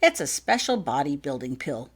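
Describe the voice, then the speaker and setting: A woman's voice: sits low, big pitch swings, moderate pace, breathy edge, heavily acted. A woman voicing a cheerful, bustling, slightly bossy hospital nurse in a storybook, with a commanding way of talking. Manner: bright, commanding. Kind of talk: storybook character dialogue.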